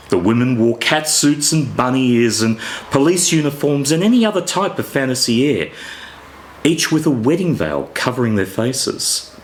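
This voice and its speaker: Slow, lively-voiced man reading a story. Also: medium pitch, slight background noise. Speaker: author reading from own novella. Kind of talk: expressive scripted story reading.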